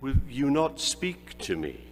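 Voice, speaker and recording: A man's voice: medium pitch, huge pitch swings, takes it slowly, pitched above the performer's own voice, exaggerated voice. A man voicing a frightened old miser who pleads with a ghost, in a reverberant room with a faint hum. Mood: fearful. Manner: fearful, pleading.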